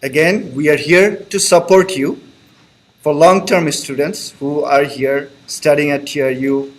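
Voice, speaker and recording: A man's voice: a little high, steady tone, measured pace, rough recording. Male student advisor. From Bangladesh. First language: Bengali. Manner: friendly, repeats reassurances, loose grammar. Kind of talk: live online information session.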